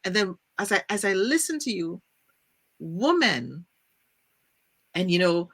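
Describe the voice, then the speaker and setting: A woman's voice: fairly low pitch, sing-song, unhurried, a bit bright, husky. A woman from Trinidad hosting a faith-based talk show, speaking earnestly and thinking aloud. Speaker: podcast host. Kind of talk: casual faith-based conversation.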